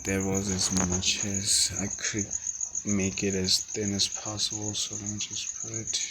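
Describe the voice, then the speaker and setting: Slow low-voiced man, crickets faintly behind. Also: monotone, background noise. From South Africa. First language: not English. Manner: thinking aloud, halting with fillers and restarts. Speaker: forex mentor. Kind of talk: screen-share trading walkthrough.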